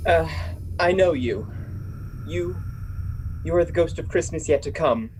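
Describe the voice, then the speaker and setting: A performer playing a fearful, repentant old miser in a radio play, halting and pleading. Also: medium pitch, expressive, a little mellow, a little hiss or hum, natural voice, rough recording.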